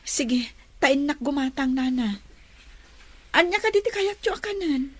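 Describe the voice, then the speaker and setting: A woman's voice: sits high, lively intonation, unhurried. A woman playing a caring, anxious young woman in a radio drama, speaking with an Ilocano accent. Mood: worried. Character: caring, anxious young woman looking after elderly mother-figure.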